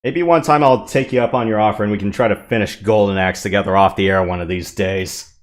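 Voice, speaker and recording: A man co-hosts a casual stream over voice chat. His voice sits somewhat low, and he speaks in a plain, matter-of-fact way, patiently walking through technical trouble. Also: fast talker. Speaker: co-commentator.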